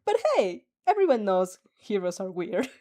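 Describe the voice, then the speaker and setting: Animated woman reading fanfic aloud. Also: medium pitch, moderate pace. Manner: laughing asides, animated. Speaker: fanfic reader.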